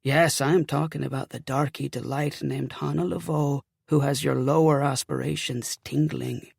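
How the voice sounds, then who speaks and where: A man plays a dead young officer's ghost in a period audiobook. He taunts with a sardonic Celtic lilt, casual and gravelly, very close and dry. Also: medium pitch, steady tone, moderate pace, darkish tone, no room sound. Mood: mocking, accusing. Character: mocking ghost of a slain young Union officer. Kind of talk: audiobook character acting.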